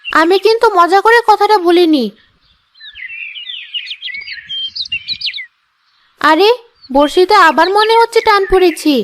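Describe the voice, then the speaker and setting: A woman voicing a feisty, sharp-tongued, proud village wife in a cartoon, with a scolding, emphatic manner. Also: very high-pitched, moderate pace, background noise. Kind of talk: Bengali cartoon dialogue.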